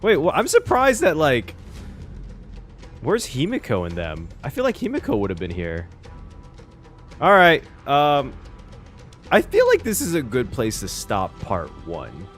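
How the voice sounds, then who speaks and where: Excitable male game reactor, animated pitch, faint music behind. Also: measured pace, long pauses. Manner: excitable, chatty, swears. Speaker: reaction streamer. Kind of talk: casual game reaction commentary.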